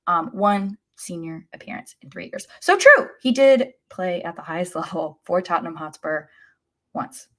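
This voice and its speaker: Low-pitched American woman talking sports. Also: lively intonation, moderate pace. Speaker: sports podcast co-host. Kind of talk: casual sports chat.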